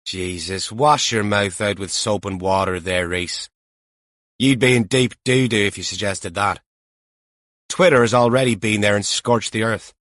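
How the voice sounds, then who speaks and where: A man's voice: on the low side, a little slow, a bit bright, no room sound, clean studio sound. A man voicing a seasoned, colloquial police officer with a wry, emphatic manner in an audiobook.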